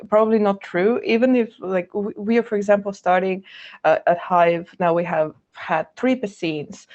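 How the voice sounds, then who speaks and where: A woman from Bulgaria, a student leader, answering questions in an online townhall in a measured, thoughtful way. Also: mid-range voice, a little mellow. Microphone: video call. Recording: muffled video call audio.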